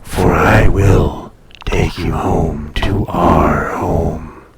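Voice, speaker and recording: A man playing an ancient dragon familiar in an intimate roleplay audio, tender, possessive and teasing, soothing and often dropping to a breathy murmur. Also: deep voice, fairly even intonation, drawn-out words, echoey room, distant mic, background noise. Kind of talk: intimate roleplay audio drama, personal attention.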